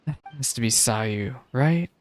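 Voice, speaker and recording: A man's voice: mid-range voice, animated, unhurried, a little hiss or hum. A man giving casual reaction commentary as he streams a video game.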